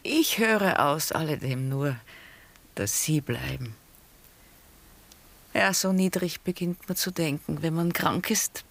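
A woman playing a frail, genteel middle-aged mother in a German radio drama, gentle and gracious in manner. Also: low voice, lively intonation, takes it slowly, vocal fry, long pauses, heavily acted. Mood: weary.

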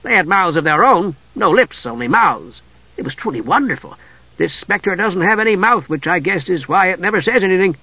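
A man's voice: sits high, expressive, fairly quick, pushed and bright, breathy edge, dry recording, lo-fi sound, voice pushed up, exaggerated voice. A man voicing a cheerful, fearless little Kender adventurer in a storybook, chattering eagerly and rambling on. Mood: chatty, indignant.

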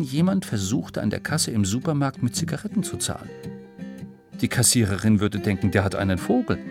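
Gravelly male narrator explaining for children. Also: lowish voice. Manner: explanatory, storytelling delivery with rhetorical questions. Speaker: narrator of a children's educational audiobook.

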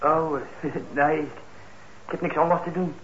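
A man's voice: on the high side, level tone, unhurried, a bit bright. An actor in a Dutch radio play playing a troubled young man on probation, blunt and hesitant, resentful yet eager. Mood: curious, eager. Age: young adult. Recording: muffled, noisy old radio recording.

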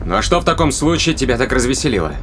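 An actor playing a stern, impatient spaceship officer who questions bluntly and reasons in formal phrases, in an audio drama. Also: male voice, medium pitch, big pitch swings, rapid-fire, music in the background, natural voice. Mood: probing, curt.

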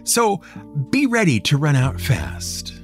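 A man's voice: fairly high pitch, huge pitch swings, slow, soft background music, heavily acted. A man voicing a cocky, self-assured trickster coyote in a storybook tale. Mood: scheming, urgent.

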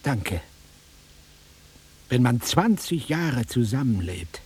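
A worldly, impatient travelling salesman in middle age in a radio play. His voice is heavily rasped and medium in pitch, moving freely up and down as he talks in an offhand, grumbling way. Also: big pitch swings, slow pace, darkish tone, full character voice. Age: middle-aged. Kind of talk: naturalistic radio drama acting.

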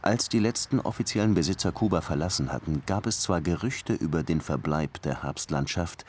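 Hard-boiled cop narrator in a radio play, lowish voice, dry and sarcastic, short fuse, noise behind. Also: male voice, a little mellow. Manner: dry, sardonic, pressing. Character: hard-boiled Cuban police detective, sardonic, short-tempered, world-weary. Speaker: detective and first-person narrator. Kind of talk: German radio crime drama, interrogation and narration.

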